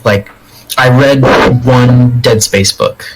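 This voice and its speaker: A man's voice: medium pitch, slow pace, music in the background, poor audio. A man co-hosting a podcast, talking casually about pop culture with friends. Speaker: podcast co-host. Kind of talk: casual panel chat about video game movies.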